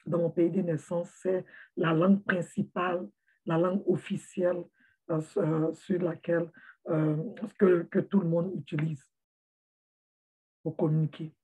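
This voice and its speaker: A woman's voice: on the low side, level tone, a little slow, pauses often, mellow, slightly rough. A woman giving a heartfelt motivational talk to her viewers, earnest and often repeating words as she searches for the next one. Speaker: motivational vlogger for immigrants. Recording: tinny phone-like sound.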